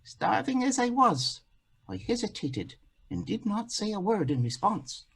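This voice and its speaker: Casting: male stage storyteller, husky and creaky, dramatic fairy-tale monologue. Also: high voice, big pitch swings, unhurried, fairly bright tone. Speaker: actor telling a folktale in a play.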